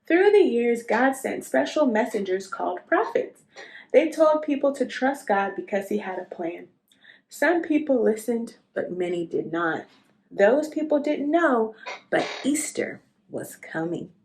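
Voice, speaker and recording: A woman narrating a children's storybook expressively, as a read-aloud host speaking to young listeners in an unhurried storytelling way. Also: a little high, sing-song, a little mellow.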